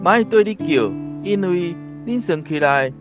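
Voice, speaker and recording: An actor playing a genial, respected old great-uncle in a radio drama, with a Taiwanese accent and a hearty, easygoing manner. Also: male voice, sits high, expressive, moderate pace, dull audio, music in the background. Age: older.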